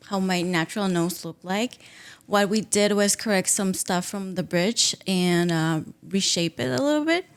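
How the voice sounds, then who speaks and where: A woman in her thirties from Peru, a native Spanish speaker, telling her own story casually as a podcast guest, sometimes searching for words. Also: lowish voice. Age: 30s. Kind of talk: casual personal account.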